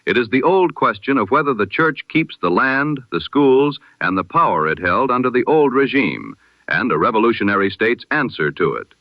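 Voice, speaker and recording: A man presenting a scripted news bulletin in the style of 1920s radio, with a measured, formal, solemn delivery. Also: medium pitch, very animated, no echo, dull audio.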